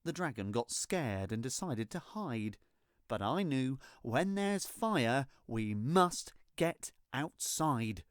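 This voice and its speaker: Children's storyteller, rhyming storybook narration, slow pace, lively intonation, theatrical, close dry sound. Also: mid-range voice, dry recording. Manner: theatrical, expressive, deliberate. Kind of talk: scripted storybook narration in rhyme.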